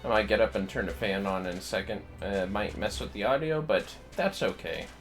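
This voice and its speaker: A man's voice: lowish voice, a little fast. A man livestreaming a video game, rambling casually and half talking to himself and his viewers. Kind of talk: casual gaming livestream chat, muttering to self and viewers. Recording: game music behind the voice. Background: video game music.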